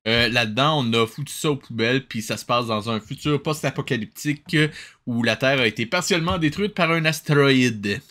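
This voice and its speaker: A man's voice: mid-range voice, a little fast, pushed and bright. A man hosting a comedic review, giving casual, sarcastic commentary. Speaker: host of a comedic cartoon review show.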